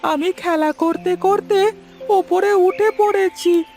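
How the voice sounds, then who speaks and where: A woman's voice: very high voice, steady tone, slow, darkish tone, heavily acted. A woman voicing an earnest, childlike young fish prince in a fairy-tale cartoon. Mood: pleading.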